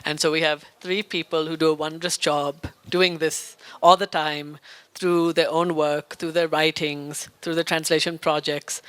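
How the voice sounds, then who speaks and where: Male panel moderator, Indian American, eager and list-heavy, sharp bright voice. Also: on the high side, dry recording. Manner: enthusiastic, flowing lists. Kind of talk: festival panel introduction.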